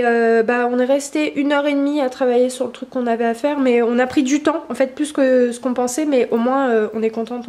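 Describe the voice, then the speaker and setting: A woman's voice: a little high, a little mellow, echoey room. A young woman vlogging in a casual, chatty way, stuffy from a cold, with many fillers and restarts. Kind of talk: casual daily vlog chat.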